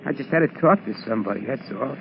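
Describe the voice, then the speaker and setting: A man's voice: mid-range voice, animated, quick pace, close to an everyday voice. An actor playing a worried ex-convict safecracker in a 1940s radio drama, speaking hesitantly in uneducated tough-guy slang. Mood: pleading. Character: worried, fearful male ex-convict safecracker turned candy-store keeper. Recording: muffled, noisy 1940s radio broadcast.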